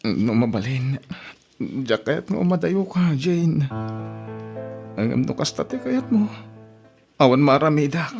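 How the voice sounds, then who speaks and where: An actor plays a tender husband in a radio-style family drama, his pitch rising and falling widely as he pleads. Soft music plays underneath. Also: sing-song, a little slow, gravelly, long pauses, exaggerated voice. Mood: pleading. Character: gentle husband of a young wife, loving.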